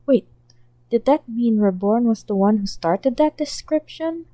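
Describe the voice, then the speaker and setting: Mellow-voiced woman reading fan fiction. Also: fairly high pitch, lively intonation, measured pace, no room sound, studio quality. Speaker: podfic reader. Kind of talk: scripted narration.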